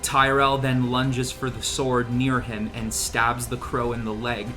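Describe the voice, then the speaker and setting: A man's voice: medium pitch, flat delivery, bright, forceful tone. A young man hosting a casual podcast with friends, jokey and profane, reading a script aloud and chatting, with music behind. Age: young adult. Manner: jokey, profane, quick to laugh. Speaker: podcast host.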